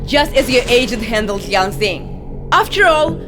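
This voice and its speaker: An actress playing a haughty, scornful female villain in a video game, sarcastic and deliberate in delivery. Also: fairly high pitch, lively intonation, music in the background. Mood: icy, sarcastic.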